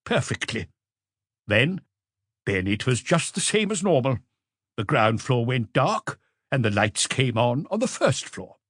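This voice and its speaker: A man's voice: mid-range voice, sing-song, unhurried, a bit bright, no room sound, clean studio sound. A man voicing an observant, self-assured witness in an audiobook, answering in a precise, matter-of-fact way. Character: observant male witness, precise and sure of himself.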